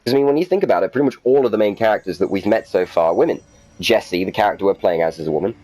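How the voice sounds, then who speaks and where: Low-voiced man, chatty gaming host. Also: animated, rapid-fire, darkish tone, poor audio. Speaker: gaming video host and reader. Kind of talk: casual gaming commentary and document reading.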